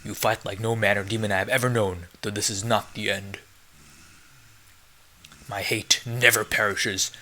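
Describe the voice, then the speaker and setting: A man voicing a vengeful demon king from a video game, reading grand curses in a solemn, measured way. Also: lowish voice, fairly even intonation, sharp tone, low-quality recording. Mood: solemn, menacing.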